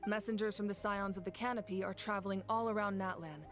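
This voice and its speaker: An actress playing a weary, resolute woman war leader in a video game, measured and commanding as she gives formal orders. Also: medium pitch, flat delivery, faint music behind, natural voice. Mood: grave, resolute.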